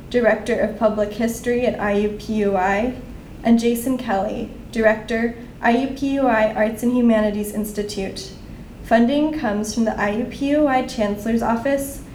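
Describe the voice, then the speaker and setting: A young woman, a graduate student, reading a formal oral history introduction and then asking interview questions in a measured way. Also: a little mellow. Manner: measured, reads the consent script carefully. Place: conference room. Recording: echoey room with faint rumble.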